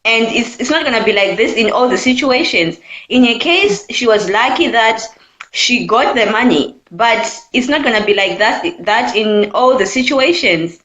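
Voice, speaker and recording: A woman's voice: on the high side, expressive, moderate pace, a bit bright. Zimbabwean woman caller, echoey line. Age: 20s. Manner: earnest, repeats her points. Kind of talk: call-in opinion chat.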